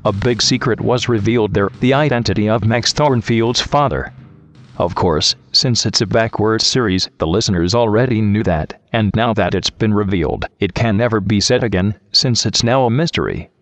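A man's voice: fairly low pitch, moderate pace, no room sound, faint music behind. A man hosting a show in a scripted but conversational style. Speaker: show host.

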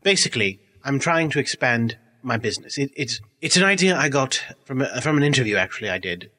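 A male podcast co-host in casual comedic banter, deadpan and hesitant. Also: mid-range voice, brisk, in a large hall. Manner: hesitant, deadpan, with many restarts.

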